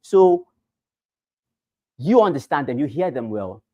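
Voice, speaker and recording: A man's voice: fairly high pitch, sing-song, measured pace, dry recording, muffled recording. A man with a Nigerian accent giving a training talk to realtors, repeating points and asking rhetorical questions. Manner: swings between loud bursts and quiet asides. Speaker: real estate trainer.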